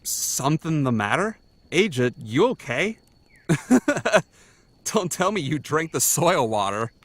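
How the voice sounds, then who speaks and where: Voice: mid-range voice, very animated, takes it slowly. An actor playing a teasing, easygoing boy god in an audio drama, with a sardonic, casual manner. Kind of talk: audio drama character acting.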